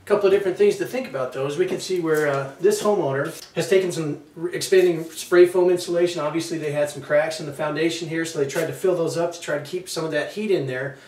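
A man's voice: on the high side, fast talker, few pauses, darkish tone. Instructional man, roomy and distant. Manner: explanatory, trips over words then corrects. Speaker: home improvement presenter. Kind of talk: instructional walkthrough. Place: outside a house and in a basement. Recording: roomy, distant, faint background hiss.

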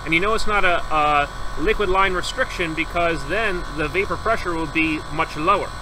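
A man with a fairly high-pitched voice walks through an air-conditioning diagnosis, explaining each reading methodically and step by step. He is recorded outdoors beside a running condenser on a close camera mic. A clear equipment hum and traffic noise sit behind him. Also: moderate pace. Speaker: HVAC service technician. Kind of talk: hands-on troubleshooting tutorial.

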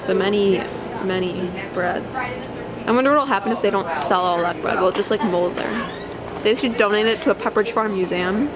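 A teenage girl filming herself on a handheld camera in a car and a store, delivering a deadpan, mock-tragic lament full of "you know"s. Her voice sits at a middle pitch, and heavy road and store noise runs under it. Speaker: vlogger. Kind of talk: mock-dramatic vlog. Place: car interior, then a store. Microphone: handheld camera at arm's length.

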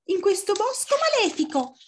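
A woman voicing a small, bossy, hot-tempered storybook fox in a shrill, emphatic way. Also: sing-song, moderate pace. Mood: bossy, determined. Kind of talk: children's storybook character voice.

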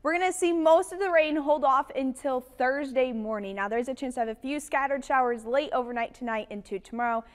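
A young woman meteorologist delivering a brisk, upbeat broadcast weather forecast from a TV studio on a lapel mic. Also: high voice. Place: TV studio in front of weather graphics.

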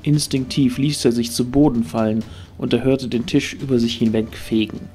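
A man narrates a scripted fantasy adventure as an audio drama. His voice sits at an average pitch, and soft music plays behind him. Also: fairly even intonation, moderate pace.

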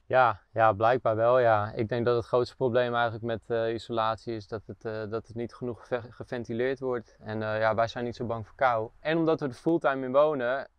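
A man's voice: mid-range voice, brisk, few pauses, clean tone, no echo. Brief: young Dutch man, easygoing tour guide of his own van, dry close sound, a little dull. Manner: relaxed, hesitant with many fillers, laughs often. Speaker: van dweller giving a tour. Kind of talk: casual tour chat. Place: inside a small camper van, outdoors by it.

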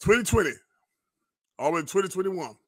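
Fiery, high-pitched male preacher. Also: animated, quick pace, breathy edge, no room sound. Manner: indignant, emphatic, repeats phrases, rhetorical questions. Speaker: pastor and online show host. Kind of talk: fiery live-stream commentary, preacher's cadence.

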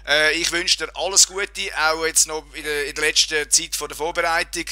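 Casting: hoarse male podcast host, bright tone, dry sound. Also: fairly high pitch, moderate pace, dry recording. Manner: relaxed, lots of fillers and repeats. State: slightly hoarse. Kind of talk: casual interview and show outro.

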